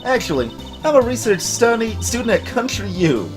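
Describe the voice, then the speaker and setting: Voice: medium pitch, sing-song, normal speed, over music, pitched above the performer's own voice, lightly acted. A performer voicing a brash, friendly young country woman from a video game, with a folksy drawl and a Southern American accent. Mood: folksy, breezy. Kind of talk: video game character voice-over.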